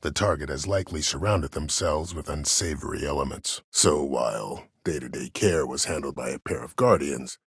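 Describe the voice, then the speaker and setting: An actor playing a cold, proud, older former war admiral in a video game, speaking formally and with measured authority in a gravelly, husky voice. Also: male voice, extremely low pitch, fairly bright tone, dry recording, clean studio sound, full character voice. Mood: grave, resolute. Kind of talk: dramatic video game dialogue.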